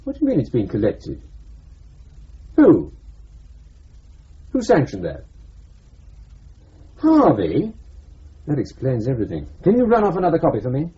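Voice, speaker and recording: An actor playing a brisk, wry, exasperated intelligence officer in a radio drama. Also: male voice, medium pitch, huge pitch swings, mellow, slightly rough, exaggerated voice. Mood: brisk, irritated. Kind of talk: broadcast radio drama acting. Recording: muffled radio recording, faint hiss.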